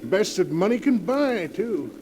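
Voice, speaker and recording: A man's voice: high-pitched, big pitch swings, unhurried. An actor playing a folksy, obliging but uneasy village delivery man with hesitant rustic speech in a 1940s radio drama. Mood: folksy, matter-of-fact. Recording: faintly noisy old 1940s radio recording.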